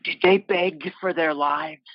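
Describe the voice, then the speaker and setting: An actor in an audio play voicing a vengeful young biker turned mutant, raspy, intense and menacing. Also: male voice, fairly high pitch, steady tone, unhurried, dry recording, muffled recording, lightly acted. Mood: bitter, accusing. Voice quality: raspy, rough.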